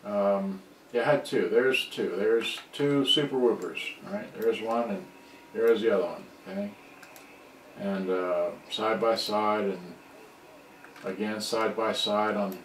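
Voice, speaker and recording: A middle-aged man thinking aloud in a halting, rambling way during a casual live repair demonstration. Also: on the low side, steady tone. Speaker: hobbyist electronics repairer on a livestream. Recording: distant mic, echoey room, background noise.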